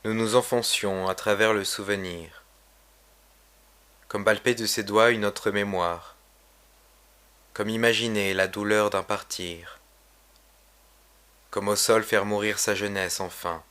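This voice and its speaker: A man reading his own poem aloud in a solemn, measured, literary recitation. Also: lowish voice, fairly even intonation, a bit bright, smooth. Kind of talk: poetry recitation.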